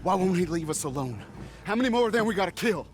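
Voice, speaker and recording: A man's voice: high-pitched, lively intonation, quick pace. A man playing a weary, plain-spoken fugitive lover in an Old West game. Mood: exasperated. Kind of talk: dramatic game cutscene acting.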